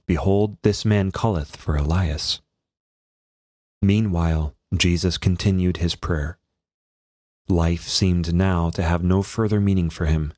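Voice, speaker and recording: A man, a priest, reading a devotional text aloud in a solemn, measured and formal manner. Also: low voice, darkish tone, no room sound, clean studio sound.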